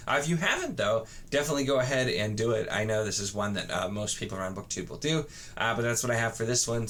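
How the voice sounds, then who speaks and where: A man chatting casually to camera as a book vlogger, in a halting, rambling way with frequent false starts. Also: medium pitch, fairly bright tone. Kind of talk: casual chat to camera about books.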